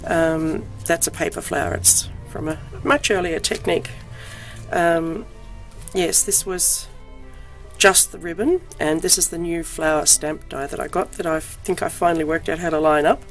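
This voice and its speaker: Croaky, under-the-weather woman, low-pitched, chatty show-and-tell with faint music behind. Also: fairly even intonation, normal speed. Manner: chatty, frequent ums, self-deprecating. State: croaky voice from illness. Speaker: crafting vlogger.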